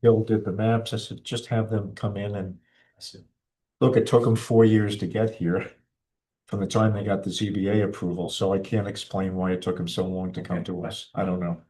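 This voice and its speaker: A man's voice: lowish voice, flat delivery, normal speed, warm tone, poor audio. A man chairing a town board meeting in an informal, plain-spoken way.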